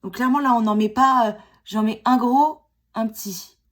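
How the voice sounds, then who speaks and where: A woman's voice: a little high, moderate pace. Chatty woman in her forties with a cold. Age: 40s. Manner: chatty, self-mocking, many fillers and restarts. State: has a cold. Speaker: beauty vlogger. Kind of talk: casual makeup tutorial chat. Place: at home.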